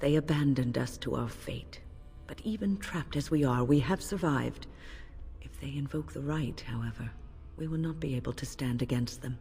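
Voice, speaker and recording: A woman playing a grave, protective senior mage in a video game, speaking in a measured, solemn way. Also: very deep voice, mellow. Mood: grim, resigned. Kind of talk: video game dialogue acting. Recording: long reverb, music underneath. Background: game music.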